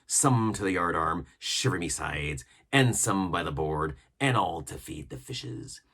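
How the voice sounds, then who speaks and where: Guy doing a fierce old pirate cook from a storybook, deep husky growl, swaggering and bossy. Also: expressive, unhurried, a bit bright, full character voice. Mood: menacing. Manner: menacing, swaggering, uses old nautical slang. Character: fierce, commanding old one-legged pirate ship's cook. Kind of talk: storybook character voice.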